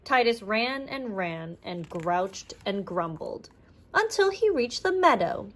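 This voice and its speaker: A woman reading a children's storybook aloud in an animated narrating style. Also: mid-range voice, slow pace. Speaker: children's audiobook reader.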